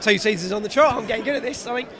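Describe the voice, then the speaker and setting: A hoarse man chatting about football outdoors, confident and upbeat. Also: sits high, racing, bright, forceful tone. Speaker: guest fan. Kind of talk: casual football chat. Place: outdoors by a stadium. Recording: crowd noise behind.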